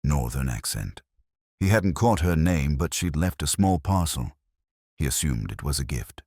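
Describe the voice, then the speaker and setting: Very deep-voiced male mystery narrator. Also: very animated, moderate pace, a little mellow, breathy edge, no echo, studio quality. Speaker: narrator of a detective story. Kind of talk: scripted first-person mystery narration.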